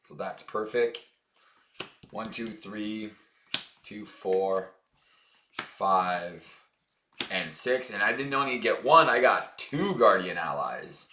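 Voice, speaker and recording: A hoarse man chatting casually as he plays through a card game for his channel, upbeat and full of fillers. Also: on the low side, lively intonation, slow, pauses often, roomy sound, noisy background. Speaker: board game channel host. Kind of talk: casual tabletop game playthrough.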